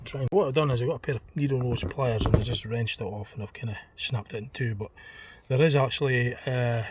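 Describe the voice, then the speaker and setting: A man thinking aloud in an unscripted hands-on demonstration, speaking in a hesitant, tentative, halting way. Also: mid-range voice. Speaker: hobbyist demonstrator in a homemade teardown video. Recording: distant mic, clearly noisy homemade recording.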